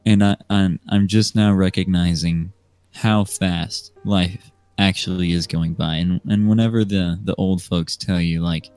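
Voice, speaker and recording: A man of nineteen with an American accent, chatting and telling stories as a podcast guest in a hesitant, unhurried way. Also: sits low, steady tone, smooth, no room sound. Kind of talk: casual storytelling chat. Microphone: remote voice call microphone. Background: soft music.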